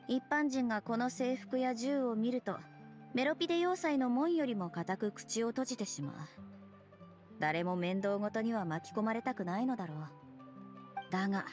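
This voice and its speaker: A woman playing a stern young patrol captain in a game, formal, deadpan and authoritative. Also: a little high, close to an everyday voice.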